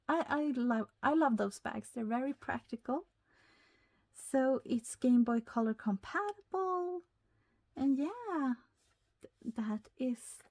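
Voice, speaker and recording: A woman from Sweden with a Swedish accent, speaking English as a second language, chatting excitedly as she unboxes a retro game and reads the packaging aloud. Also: on the high side. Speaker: YouTuber. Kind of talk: casual unboxing chat.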